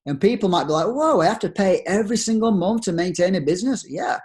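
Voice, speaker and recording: A man's voice: high voice, expressive, fast talker, darkish tone. Persuasive man with a broad Yorkshire accent. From Yorkshire, England. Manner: upbeat, persuasive, rhetorical questions. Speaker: network marketing presenter. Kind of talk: screen-share sales presentation.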